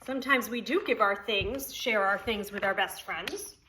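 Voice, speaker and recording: A woman's voice: mid-range voice, normal speed, sharp tone, slight background noise, rough recording. A woman preschool teacher reading a story aloud to young children, expressively, with simple explanatory asides.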